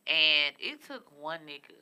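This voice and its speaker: A woman's voice: low voice, big pitch swings, measured pace, pushed and bright, clean tone. A young woman co-hosting a podcast, earnestly asking questions and speaking openly about her feelings in casual chat. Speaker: podcast co-host. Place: studio room with a city backdrop.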